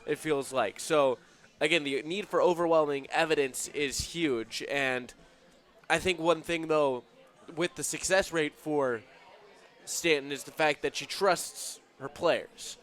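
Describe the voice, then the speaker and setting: A man with a slightly high voice works as color commentator on a sports broadcast. He analyzes the game in a conversational way. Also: measured pace, a bit bright.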